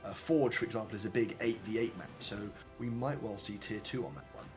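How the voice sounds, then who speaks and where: A British man casting a live strategy game tournament, upbeat and quick with a quip. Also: lowish voice, lively intonation. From Britain. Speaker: esports caster. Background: background music.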